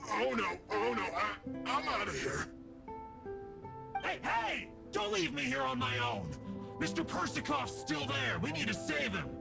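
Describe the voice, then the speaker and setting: A man's voice: extremely high pitch, sing-song, measured pace, gravelly, over music, heavily acted. A man playing an easily alarmed camp dweller in a video game, frantic and stammering. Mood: panicked. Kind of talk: video game cutscene acting.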